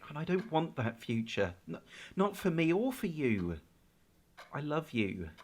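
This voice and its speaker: A man's voice: a little high, very animated, a little slow. An actor playing an anguished, guilt-ridden boyfriend in a radio drama, hesitant and stammering as he defends himself. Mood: pleading. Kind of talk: naturalistic radio drama acting.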